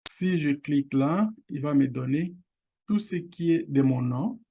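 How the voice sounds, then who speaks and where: Gravelly, warm man with Lingala first language. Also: on the high side, animated. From Congo region, Lingala first language. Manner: halting, with many restarts and fillers; direct appeals to viewers. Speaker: online course channel host. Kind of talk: casual screen-share tutorial.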